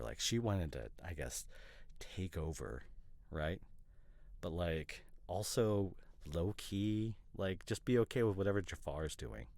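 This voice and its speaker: A man playing an opinionated, teasing boyfriend in an ASMR roleplay, chatting casually in a hesitant, rambling way with mock seriousness and a gravelly voice. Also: low-pitched, a little mellow, no room sound, full character voice. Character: opinionated, teasing boyfriend debating couple's costume ideas.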